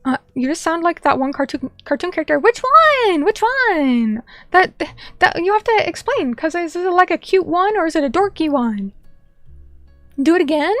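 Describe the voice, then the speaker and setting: A woman's voice: very high-pitched, sing-song, normal speed, a bit bright, no echo, soft background music. A young woman streamer chatting casually and reading viewer comments, bubbly and chatty, greeting people by name.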